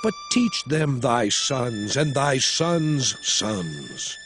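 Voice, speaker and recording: An actor playing an aged male prophet and lawgiver, exhorting his people in a solemn, measured, declamatory manner in a dramatized scripture recording. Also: medium pitch, very animated. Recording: studio voice over a music score.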